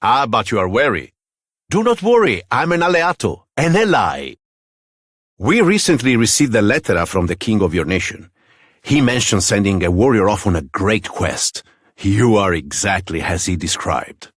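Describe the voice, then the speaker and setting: An actor playing a courteous, loyal soldier captain with an Italian accent, gallant and formal, in a fantasy video game. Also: male voice, fairly low pitch, sing-song, normal speed, a bit bright, breathy edge, no echo, clean studio sound, heavily acted. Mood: courteous, earnest.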